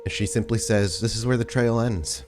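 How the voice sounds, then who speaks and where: A man's voice: lowish voice, moderate pace, a little mellow, a little hiss or hum. A man hosting and narrating a tabletop role-playing podcast as its storyteller. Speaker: host and game storyteller. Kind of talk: casual show chat and game narration.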